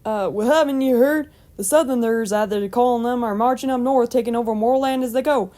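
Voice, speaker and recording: A woman's voice: a little high, a little fast, warm tone, pitched above the performer's own voice, close to an everyday voice. A woman voicing a kindly old male farmer with a folksy, hearty manner and a rural country accent, in a storybook reading. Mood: concerned.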